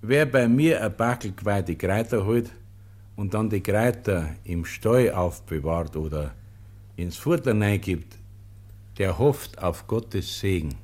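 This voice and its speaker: An actor playing a pious, humble monastery porter in a German radio play, speaking simply and calmly with a Bavarian accent. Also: male voice, on the low side, unhurried. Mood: patient, devout. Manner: calm, simple, gently admonishing.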